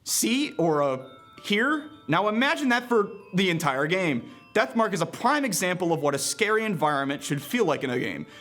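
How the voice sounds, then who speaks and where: A man's voice: high-pitched, sing-song, moderate pace, a bit bright, faint music behind. A man narrating a scripted video game review in a playful, conversational manner, with casual asides and emphatic delivery.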